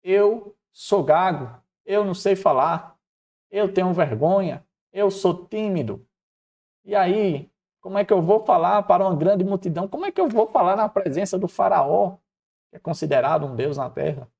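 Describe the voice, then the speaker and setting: A man's voice: medium pitch, normal speed, a little mellow, natural voice. A man voicing a timid, self-doubting man who makes colloquial, pleading excuses in a Bible story. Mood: anxious pleading.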